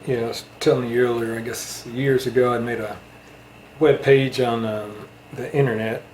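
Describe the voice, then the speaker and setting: A man in a casual interview chat, reminiscing in a halting way. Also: medium pitch, level tone, a little mellow, slight background noise, rough recording. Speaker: interviewer, channel host.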